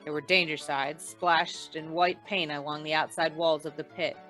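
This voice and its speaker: A woman's voice: sits low, fairly even intonation, moderate pace, fairly bright tone, faint music behind. A woman playing a wry, hard-boiled insurance investigator in an old-time radio drama, with dry, tough delivery. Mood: wry, tense narration.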